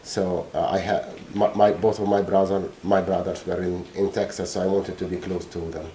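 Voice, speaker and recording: Guy on the stand, lowish mellow voice, slow halting answers. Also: little pitch movement, a little hiss or hum. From Egypt. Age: middle-aged. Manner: halting, searching for words. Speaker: witness. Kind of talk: courtroom testimony. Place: courtroom.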